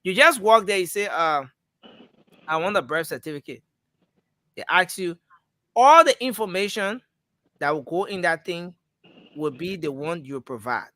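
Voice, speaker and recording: Bright, high-voiced host. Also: male voice, animated, normal speed, long pauses, dry recording. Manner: repeats words, cuts in to steer. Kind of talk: live call-in audio discussion.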